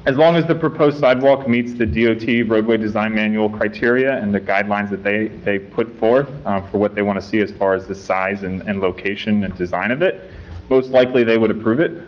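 A man testifying as a traffic engineer at a township board meeting, giving measured, technical answers from a podium. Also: lowish voice. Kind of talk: formal testimony at a public meeting. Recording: muffled room recording.

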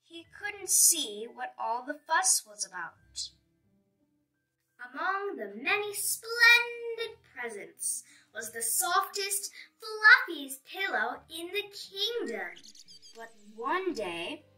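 A woman's voice: high-pitched, pushed and bright, far from the mic, rough recording. A woman reading a children's picture book aloud expressively, with dramatic storytelling emphasis.

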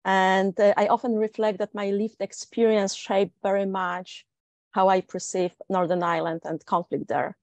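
Measured woman with a Polish accent. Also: medium pitch, level tone, darkish tone, no echo. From Poland. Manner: measured and reflective, many filler uhs. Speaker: panel speaker. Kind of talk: panel discussion.

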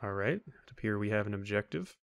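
A man's voice: lowish voice, quick pace, creaky. Wry man doing casual game commentary. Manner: wry, joking asides. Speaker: let's play host.

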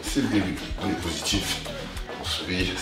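Low-voiced man coaching, very echoey room. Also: normal speed. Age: young adult. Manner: motivating, exertive talk while exercising. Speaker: fitness coach. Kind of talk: workout instruction, counting and cheering on. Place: living room, indoors. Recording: very echoey room, distant mic, music behind. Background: clear music.

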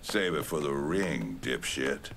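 An actor playing a surly, menacing security guard with a gruff, commanding manner. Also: male voice, a bass voice, expressive, takes it slowly, heavily acted. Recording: clear noise and clicks behind.

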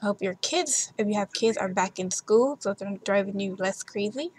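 A woman's voice: mid-range voice, normal speed, a little mellow, husky. A young woman chatting casually in a gameplay voiceover, rambling with fillers, losing her voice. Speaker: gaming YouTuber. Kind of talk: casual game commentary voiceover.

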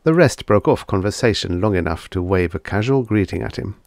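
A man with a low voice narrates vintage science fiction in a measured, dramatic storytelling manner. The recording is close and dry, with very little room around the voice. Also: creaky, darkish tone, dry recording. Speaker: audiobook narrator.

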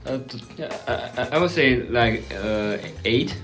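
Halting young man. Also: medium pitch, lively intonation, a bit bright, faint music behind. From Taiwan. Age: young adult. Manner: halting, repeats words and sounds. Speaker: snack taster. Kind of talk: casual on-camera reaction chat. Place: indoor room with block wall, seated at table.

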